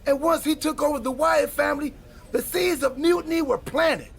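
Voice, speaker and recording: A man voicing an eccentric, earnest time-travelling wrestler in a video game cutscene, given to rambling deadpan quips and theatrical exposition. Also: extremely high pitch, normal speed, gravelly. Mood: grave, dramatic.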